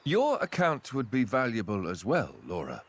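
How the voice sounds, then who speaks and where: An actor playing a gentle, polite and refined young prince in a game cutscene, with music behind him. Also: male voice, mid-range voice, huge pitch swings, moderate pace. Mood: friendly, teasing. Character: gentle, courteous young prince, thoughtful and friendly. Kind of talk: video game cutscene acting.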